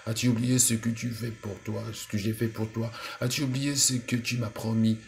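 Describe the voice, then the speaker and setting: A man voicing an ugly old toad in a storybook tale, with a hoarse, cracked voice. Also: mid-range voice, flat delivery, normal speed, slight background noise, voice pushed up, lightly acted. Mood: insistent.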